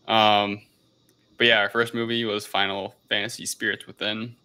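A man's voice: fairly low pitch, level tone, normal speed, pushed and bright, clear, resonant tone. A man hosting a casual podcast film review, speaking in a hesitant, unscripted, rambling way. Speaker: podcast host.

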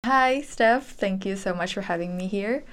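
A woman's voice: mid-range voice, normal speed. A nineteen-year-old woman from Indonesia with an Indonesian accent, chatting casually as a student guest on a podcast. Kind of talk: casual chat.

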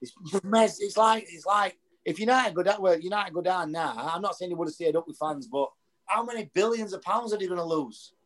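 A man, chatting as a podcast guest in a rambling, animated way. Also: high voice, a little fast. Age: 30s. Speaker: guest, boxing coach. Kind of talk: casual podcast chat.

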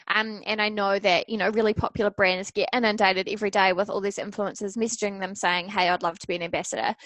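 A woman's voice: medium pitch, fairly bright tone, no room sound. A woman answering interview questions casually as a social media expert, in a conversational, advising way.

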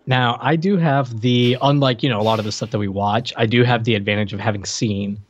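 A man talks casually as a podcast co-host, in a middling pitch. He rambles along in a jokey way, and the audio is a little dull and lo-fi. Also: steady tone, brisk. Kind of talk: casual chat.

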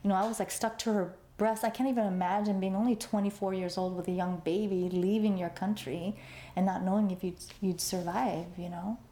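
A woman's voice: medium pitch, fairly even intonation, a little fast, warm tone, reverberant. A woman artist in an interview, talking casually with many fillers and easy laughs. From United States, Los Angeles. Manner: chatty, lots of fillers, laughs easily. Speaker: artist guest. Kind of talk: conversational interview.

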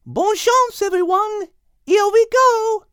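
Gracious French female cat, storybook animal leader, played by a man in falsetto. High, measured, very dry recording. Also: a bit bright, dry recording, full character voice. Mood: excited.

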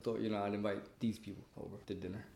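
A man's voice: fairly low pitch, level tone, rapid-fire, gravelly, darkish tone. A man from the United States answering interview questions casually, repeating phrases for emphasis. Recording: echoey room.

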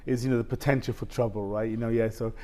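Middle-aged male guest, halting storyteller, mid-range voice. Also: mid-range voice, little pitch movement, warm tone. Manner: halting, with many restarts and "basically". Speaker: interview guest. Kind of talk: personal story in casual conversation.

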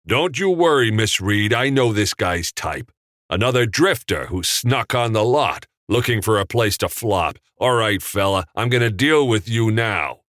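A man's voice: on the high side, very animated, moderate pace, few pauses, a bit bright, no room sound, studio quality, pitched above the performer's own voice. Man doing a thundering, bossy old Hollywood crewman. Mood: angry, bullying. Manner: brusque, old-time slangy. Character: large, gruff, bossy 1940s assistant director.

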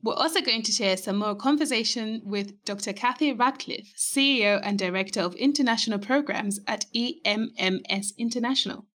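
A woman from Malawi with a Malawian accent, co-hosting a podcast with a clear, measured, scripted delivery. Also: a little high, a bit bright. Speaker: podcast co-host. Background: faint music.

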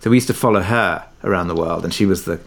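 A man's voice: sits low, fast, gravelly. A middle-aged British man talking reflectively as an interview guest, hesitant and thoughtful, often circling back to rephrase. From Britain, partly raised abroad in Kuwait. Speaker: interview guest, audio drama creator.

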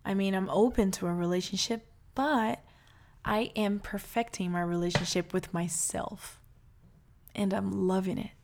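A woman in her late thirties from Brazil, calmly telling her life story in a reflective monologue. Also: mid-range voice, a little slow, gravelly, a little hiss or hum. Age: 30s.

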